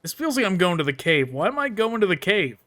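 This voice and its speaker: Male let's-play host, slightly high bright voice, casual running commentary. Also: big pitch swings, fast, dry recording. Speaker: gaming video host. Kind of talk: casual game playthrough commentary, reading dialogue choices aloud.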